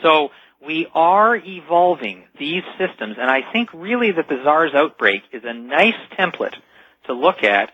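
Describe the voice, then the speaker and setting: A man's voice: a little high, very animated, unhurried, no room sound. A man speaking as a radio show guest, explaining things earnestly in an interview. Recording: slightly dull lo-fi radio call audio.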